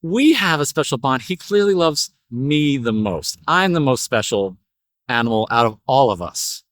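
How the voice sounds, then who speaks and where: A man's voice: medium pitch, sing-song, normal speed, fairly bright tone, no echo, studio quality. A man voicing a vain, cute little parakeet in a story, boastful and self-admiring. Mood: vain, proud.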